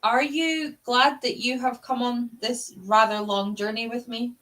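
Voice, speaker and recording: A woman's voice: fairly high pitch, fairly even intonation, measured pace, fairly bright tone. A young woman hosting a casual book chat, gushing and sweary like an enthusiastic fan. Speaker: book club live show host. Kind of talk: casual chat about books.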